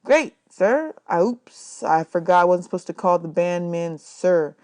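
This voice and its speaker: A woman's voice: on the low side, expressive, unhurried, darkish tone. Woman reader as little orphan boy in a storybook, polite, wide eyed, folksy exclamations.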